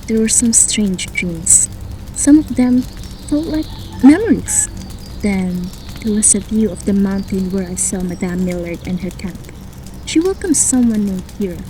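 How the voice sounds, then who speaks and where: A woman's voice: mid-range voice, animated, measured pace, mellow, slight background noise, lightly acted, low-quality recording. A woman playing a determined, impatient young woman in a fantasy audio drama, speaking earnestly. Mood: pensive.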